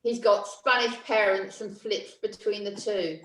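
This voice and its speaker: A woman's voice: medium pitch, fairly even intonation, a little slow, reverberant, a crowd in the background, low-quality recording. A woman student on a video call who speaks in an assured, matter-of-fact way.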